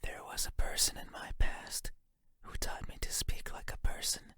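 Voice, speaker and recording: A man voicing a lonely, yearning monster for a horror game, in a breathy whisper with a halting, intimate manner. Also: bright, forceful tone, natural voice. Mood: wistful, hesitant. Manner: halting, intimate, musing, formal phrasing. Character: lonely wordless monster lurking in the dark, yearning, eerie. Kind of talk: video game horror monologue.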